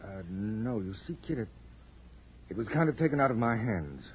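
An actor playing a steady, concerned detective, firm and plainspoken, in a 1940s radio crime drama. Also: male voice, fairly low pitch, moderate pace, breathy edge. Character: steady, concerned tough-guy detective and friend. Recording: muffled old radio recording with faint noise.

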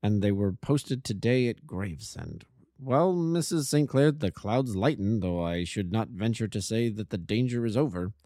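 A man playing a cool, lordly Victorian detective who speaks low, clipped and measured. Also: expressive, dark tone, dry recording, clean studio sound, natural voice.

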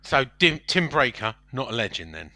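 Bright, animated man in football banter. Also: mid-range voice, moderate pace, dry recording. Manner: blunt, interjecting. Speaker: podcast panellist.

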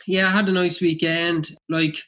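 Young co-host with a slight cold, thoughtful and a touch higher-pitched. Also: male voice, level tone, normal speed, pushed and bright. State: has a mild cold. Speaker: podcast co-host. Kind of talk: casual chat.

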